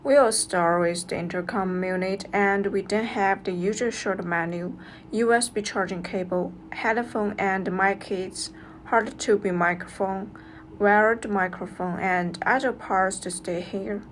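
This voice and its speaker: A woman's voice: medium pitch, steady tone, measured pace, slight background noise. A woman reads a scripted product review as a narrator, going through instructions step by step.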